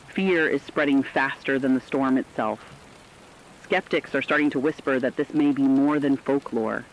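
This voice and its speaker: A man playing a solemn radio co-anchor, giving measured, foreboding warnings in a scripted horror broadcast. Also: medium pitch, natural voice. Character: ominous radio co-anchor warning of an ancient legend. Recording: muffled lo-fi audio, faint noise.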